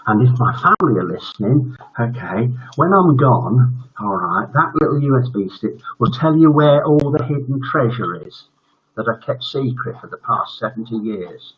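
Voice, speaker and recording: Old british bloke in his 70s doing bedtime stories n chat, really raspy, muffled lofi stream audio. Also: mid-range voice, animated, moderate pace. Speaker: bedtime story host. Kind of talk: storytelling and casual livestream chat. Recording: dull lo-fi livestream audio.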